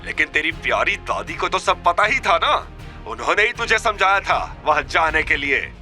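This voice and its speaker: A man's voice: fairly high pitch, big pitch swings, normal speed, sharp tone, faint music behind, voice pushed up, exaggerated voice. A man voicing a scheming, greedy older woman who scolds defensively, in an audio drama. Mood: indignant, scolding. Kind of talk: Hindi audio drama acting.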